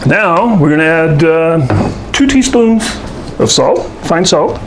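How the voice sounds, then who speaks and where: A man's voice: fairly high pitch, lively intonation, takes it slowly, background noise. A man hosting a casual cooking demonstration at home, talking in a folksy way with joking asides. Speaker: show host. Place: indoors at home kitchen.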